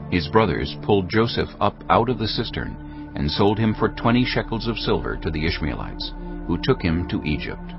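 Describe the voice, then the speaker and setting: A man narrating scripture in a measured, expressive dramatic style. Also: deep voice, creaky. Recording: dull audio over background music.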